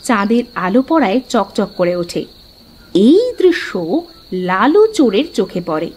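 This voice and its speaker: A woman's voice: mid-range voice, big pitch swings, normal speed. A woman narrator reading a scripted fairy tale for a cartoon.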